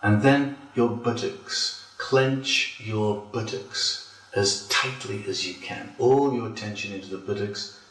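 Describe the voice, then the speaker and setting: A man leading a guided relaxation as a yoga instructor, speaking deliberately with pauses between phrases. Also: on the low side, fairly bright tone. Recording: roomy echo, distant from mic.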